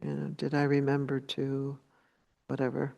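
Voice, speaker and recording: A woman leading a guided meditation as a teacher, speaking in a gentle, unhurried way with long pauses. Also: a bass voice, monotone, gravelly, highs cut off.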